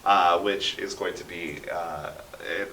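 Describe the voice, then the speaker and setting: A man's voice: deep voice, fairly even intonation, slow, background noise. A man talking casually as an interview guest, explaining things and often checking in with "right?". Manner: explanatory, punctuates points with "right?". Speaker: interview guest, radio drama writer and sound effects performer. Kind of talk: casual animated interview talk. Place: radio station studio. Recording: roomy studio echo, distant mic.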